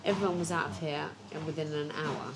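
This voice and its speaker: A woman presenting a business rescue show on location, brisk and decisive. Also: sits low, steady tone, a crowd in the background. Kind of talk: reality TV presenting. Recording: loud music bed underneath.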